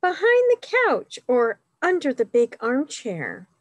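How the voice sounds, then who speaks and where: A woman's voice: sits high, wildly swooping pitch, a little slow, no echo, dull audio, voice pushed up, full character voice. A woman voicing a sensible, sleepy older sister who speaks patiently and a little wearily.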